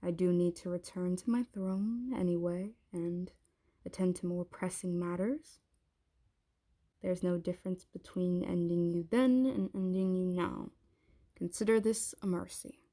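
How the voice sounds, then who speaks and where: A woman playing a haughty, menacing dragon queen in an intimate ASMR roleplay, speaking in an imperious, taunting, deliberate way. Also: fairly low pitch, steady tone, mellow. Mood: coolly merciful.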